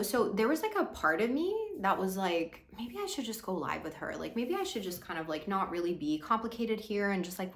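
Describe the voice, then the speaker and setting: A woman's voice: medium pitch, a bit bright. A young woman from Hong Kong, a business coach talking casually to her audience, full of "like" fillers and light laughs. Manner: chatty, many "like" fillers, laughs often. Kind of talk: casual monologue to camera.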